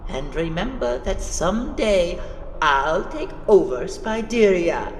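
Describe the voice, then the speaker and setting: A woman's voice: on the low side, sing-song, slow. A woman voicing a haughty, gloating male emperor of fire, a menacing and theatrical villain in a fantasy cartoon. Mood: haughty threat. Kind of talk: animated fantasy villain acting. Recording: echoey hall with long reverb.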